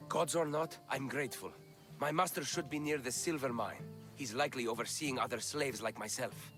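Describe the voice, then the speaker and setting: An actor playing a wronged, devout slave in ancient Greece, speaking with earnest emotion in a video game. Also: male voice, medium pitch, moderate pace, fairly bright tone, natural voice. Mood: grateful, hopeful. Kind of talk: video game cutscene acting. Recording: music behind the voice.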